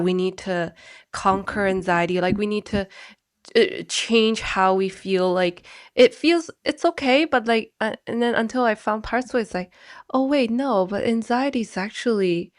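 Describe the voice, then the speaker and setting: A woman's voice: mid-range voice, unhurried. A woman from Malaysia, a counselor being interviewed, talking in a chatty way and leaning on "like" often. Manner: chatty, leans on "like" as a filler, relaxed non-native-sounding grammar. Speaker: guest, mental health counselor. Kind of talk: casual interview talk.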